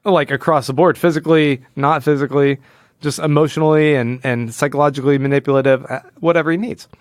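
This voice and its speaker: A man co-hosting a podcast, casually discussing comics in a halting way, repeating words as he goes. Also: fairly high pitch, steady tone, no room sound, clean studio sound. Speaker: podcast co-host.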